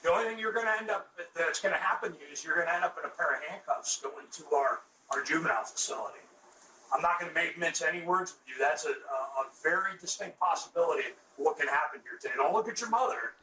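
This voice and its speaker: Stern, high-pitched male officer on echoey bodycam. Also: fast, bright, forceful tone. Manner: blunt, lecturing. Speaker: police officer. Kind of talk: stern explanatory talk. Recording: distant, echoey, noisy bodycam audio.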